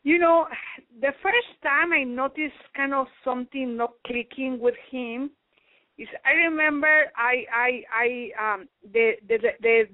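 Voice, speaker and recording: A middle-aged woman from Mexico, a native Spanish speaker, speaking as a radio guest in careful, halting English with many restarts. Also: sits high, no echo. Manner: halting, searching for words, restarts. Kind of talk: call-in radio interview. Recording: tinny phone line.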